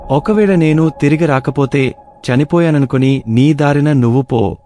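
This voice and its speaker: A male actor plays a brave outlaw thief in a folk-tale audio story. He is proud and loyal, a warrior at heart. Clear music runs under the voice. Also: fairly high pitch, moderate pace, dark tone, lightly acted. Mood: grim, resolute.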